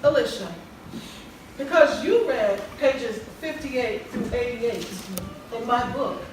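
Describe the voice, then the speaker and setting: A woman acting as an encouraging self-help counselor in a stage play, speaking in a measured, preachy way to her group. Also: a little high, lively intonation. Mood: earnest, instructive. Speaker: counselor and author leading a support group. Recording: echoey hall, far mic, clear background noise.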